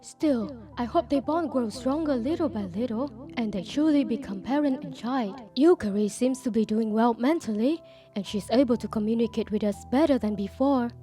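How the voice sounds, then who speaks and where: A woman voicing a gentle, wistful grandmother in her sixties, narrating a manga dub. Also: a little high, moderate pace, close to an everyday voice. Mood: calm, wistful. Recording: background music under the voice.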